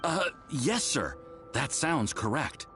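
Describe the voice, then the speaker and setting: Eager, animated young research assistant. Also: male voice, mid-range voice, slow pace. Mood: hesitant. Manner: hesitant, deferential, bookish. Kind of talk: video game voice acting. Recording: music behind the voice.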